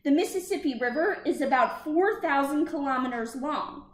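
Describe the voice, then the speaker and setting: A woman teacher reading a children's picture book aloud, expressive and deliberate, with questioning lifts. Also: sits high, reverberant.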